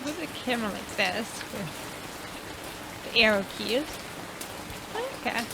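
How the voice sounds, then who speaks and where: A woman's voice: a little high, unhurried, background noise. A woman hosting a casual game playthrough, informal in her commentary and stumbling a little as she reads text aloud. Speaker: gaming video host. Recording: prominent game soundtrack with rain. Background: loud game music and rain.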